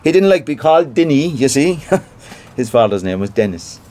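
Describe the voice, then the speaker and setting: A small-time Irish theatre man , acted by a man in a naturalistic audio drama. He has a medium-pitched voice and a Cork accent. His talk is colloquial and gossipy, full of self-importance and a chancer's boasting. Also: very animated, moderate pace, mellow, lightly acted. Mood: gossipy, boastful.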